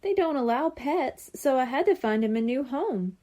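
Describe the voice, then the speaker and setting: Lady doing a kindly old guy, soft mellow tone, a bit rueful, storybook. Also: high-pitched, animated, moderate pace, pitched above the performer's own voice. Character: kindly older man, gentle soon-to-retire school worker. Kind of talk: children's audiobook character voice.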